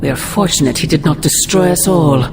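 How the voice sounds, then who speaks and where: A woman's voice: sits low, fairly quick, creaky. A woman voicing an ancient, imperious sorceress mother in a video game, speaking in grandiose, formal, ominous phrases. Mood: stern anger. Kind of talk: dramatic video game cutscene acting. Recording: loud music underneath.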